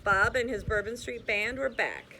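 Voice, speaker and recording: Young woman reading a rhyming kids book out loud, bright voice, steady bouncy rhythm, little hiss behind. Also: on the high side, normal speed. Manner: steady rhythmic read-aloud with small slips and restarts. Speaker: reader.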